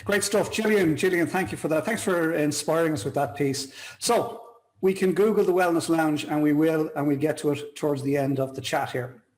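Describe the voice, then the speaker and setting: Male talk show host, fairly high voice, effusive and complimentary in casual conversation. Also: moderate pace, a little mellow, poor audio. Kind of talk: casual online interview chat.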